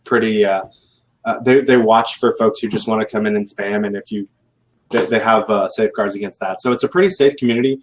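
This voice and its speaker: A man with a fairly low voice chats in a loose, rambling way, often pausing and correcting himself mid-sentence. He sounds relaxed, like a guest on a video call panel. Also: a little hiss or hum, rough recording. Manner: rambling, self-correcting, laid-back. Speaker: veteran recruiting guest. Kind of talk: casual panel chat.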